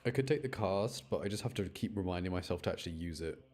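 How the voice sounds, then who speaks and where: A man's voice: low voice, fast talker, a little mellow, faint music behind. A man doing casual live game commentary for his chat, rambling and thinking aloud as he plays. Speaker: game streamer.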